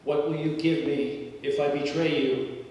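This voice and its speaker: A man's voice: a little high, flat delivery, a little slow, darkish tone, natural voice. Guy doing a betrayer disciple in a bible reading, distant voice, tons of hall echo. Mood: scheming. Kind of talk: dramatic scripture reading. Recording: very echoey hall, distant mic, faint noise.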